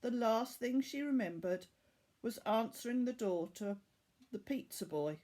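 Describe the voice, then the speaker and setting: Slow, higher-voiced woman reading a story. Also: animated, warm tone. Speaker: teacher reading aloud. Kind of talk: scripted children's story narration.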